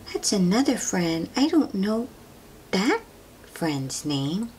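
A woman reading a picture book aloud to young children, gently drawing out her words and asking them questions in an expressive way. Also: fairly low pitch, breathy edge. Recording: steady noise behind the voice.

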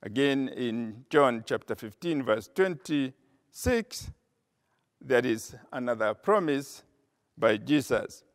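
An older man preaching a sermon from a church pulpit, slow and measured, pausing with frequent "uh" as he cites scripture. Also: medium pitch, lively intonation. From Kenya. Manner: slow, measured delivery with frequent uh pauses, reading scripture aloud. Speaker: pastor. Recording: long reverb of a church hall.

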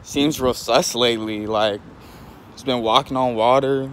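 A man's voice: mid-range voice, steady tone, unhurried. A young man chatting casually in a slangy, mock-indignant way, as in a parody video call. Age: young adult. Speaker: comedy video creator. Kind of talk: parody FaceTime chat, casual. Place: indoors at home. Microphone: phone at arm's length. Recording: noisy phone recording with room ambience.

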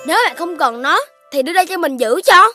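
Give this one a very high, animated little puppy boy. He is bratty, eager and pouty, made for a children's cartoon, with loud music playing behind him. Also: fast talker. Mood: demanding. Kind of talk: Vietnamese children's cartoon dubbing.

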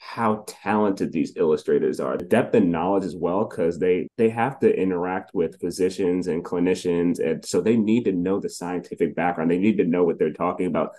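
A man's voice: low voice, warm tone. A young man talking conversationally in an interview on a video call. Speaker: journalist interviewee. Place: plain room, video call.

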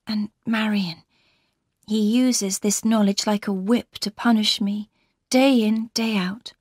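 A woman voicing a frightened, unhappy young wife in an audiobook, confiding in a breathy, intense half-whisper. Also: medium pitch, steady tone, takes it slowly, no echo, clean studio sound, pitched above the performer's own voice. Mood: anguished confiding.

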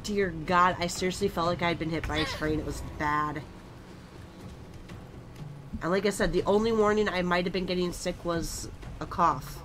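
Woman streamer, low-pitched, has a cold, noisy background, casual gaming chat. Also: fairly even intonation, moderate pace, long pauses. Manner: chatty, rambling storyteller.

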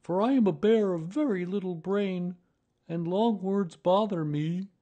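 A man voicing a small, humble, slow-witted bear from a children's storybook, speaking simply and earnestly. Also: high-pitched, mellow, highs cut off, pitched above the performer's own voice. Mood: humble, puzzled.

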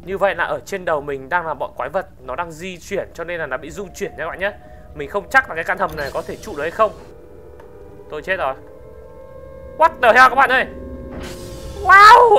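An excitable gaming video host giving exclamation-filled gameplay commentary. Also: mid-range voice. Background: music.

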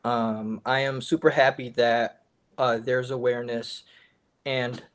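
Young man with a stutter, student presenter, flat level voice, laptop mic. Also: medium pitch, a little slow. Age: young adult. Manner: stutters with sound repetitions and blocks. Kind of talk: class presentation on a video call.

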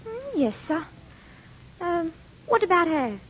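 Forward young maid, pert yet polite, piping very high voice, vintage radio drama, muffled sound. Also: female voice, big pitch swings, slow, a little hiss or hum, full character voice. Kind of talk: 1940s radio drama acting. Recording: dull vintage radio recording.

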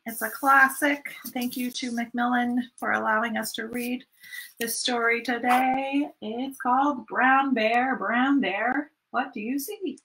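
A woman in her thirties leading a children's storytime, speaking in an animated, sing-song way with repeated phrases for young listeners. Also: fairly high pitch, unhurried, a little hiss or hum, low-quality recording. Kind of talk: children's storytime read-aloud and singalong. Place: small furnished room at home, indoors.

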